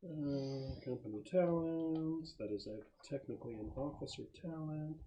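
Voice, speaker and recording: A man's voice: a little high, moderate pace, dark tone, poor audio. A man acting as game master, talking casually and explaining rules at a tabletop session. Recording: clear background noise, clicks.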